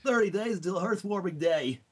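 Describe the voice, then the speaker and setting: A man's voice: high-pitched, lively intonation, moderate pace. A man voicing a nerdy cartoon turtle who earnestly narrates a storybook tale. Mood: earnest narrating. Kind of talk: scripted storybook narration read in a character voice.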